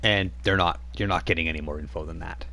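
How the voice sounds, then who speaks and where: A man's voice: low voice, fairly even intonation, quick pace, creaky, sharp tone. A man running a tabletop role-playing game on a live stream, rambling with frequent restarts as he narrates. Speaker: game master.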